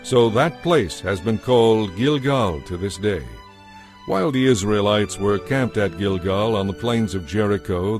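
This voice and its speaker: Low-pitched man narrating scripture solemnly. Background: background music.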